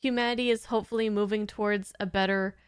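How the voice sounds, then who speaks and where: A woman's voice: mid-range voice, fairly even intonation, quick pace, clean tone, no room sound, clean studio sound. A woman giving casual reaction commentary in an informal, giggly, rambling way. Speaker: host of a reaction channel.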